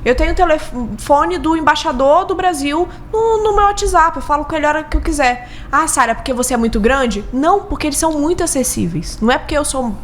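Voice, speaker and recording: A Brazilian woman with a fairly high voice talks in a casual interview style. She is emphatic, doubling back on key words to drive them home. A little noise sits behind her. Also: expressive, fast, hardly any pauses. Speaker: podcast guest, business consultant.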